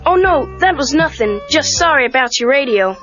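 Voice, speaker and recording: A woman plays a friendly, self-effacing teenage boy in a story tape. The voice is bright and very high, on a lo-fi cassette with faint music behind. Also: expressive, moderate pace. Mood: modest, reassuring. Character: modest, friendly teenage boy, eager to impress. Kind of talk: children's audio drama dialogue.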